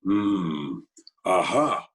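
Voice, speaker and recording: A man playing a laconic, scheming Broadway tough guy in an old-time radio drama, with a husky voice and terse, deliberate delivery. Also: low-pitched, animated, fairly bright tone, full character voice. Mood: musing, scheming. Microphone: video call recording.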